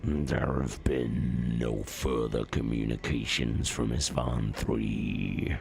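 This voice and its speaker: A man voicing a grave, commanding warlord who reports in a solemn, deliberate manner in a story reading. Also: a bass voice, fairly even intonation, creaky, darkish tone, voice pushed down, full character voice. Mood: grim, solemn.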